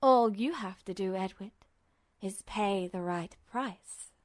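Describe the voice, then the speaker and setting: A woman performs an inhuman, all-powerful entity from a fantasy audio story. It drawls with icy condescension and calm menace, taking its time over each taunt. The sound is dry and close. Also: mid-range voice, slow pace, no room sound, voice pushed down, natural voice. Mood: cold, taunting. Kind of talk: audio fiction reading.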